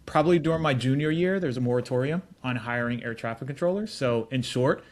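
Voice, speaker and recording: Middle aged guy on a podcast, medium pitch, easygoing, rambles a bit telling his story. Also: brisk. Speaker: interview guest, tech executive. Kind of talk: casual conversational storytelling.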